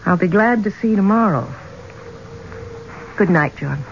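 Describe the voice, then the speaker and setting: An actress playing an excitable but wary young woman in a 1970s radio drama, speaking with wonder in halting phrases. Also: medium pitch. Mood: guarded, confiding. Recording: muffled, noisy old radio recording.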